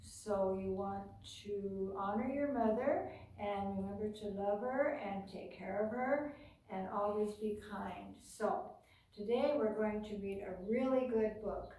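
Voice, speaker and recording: An American woman reading a picture book aloud to young children as a storytime host, with expressive phrasing and chatty asides, recorded indoors by a camera some way off. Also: mid-range voice, a little slow. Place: indoors, bare carpeted library room with painted wall. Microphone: camera several metres away. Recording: echoey room, distant camera microphone.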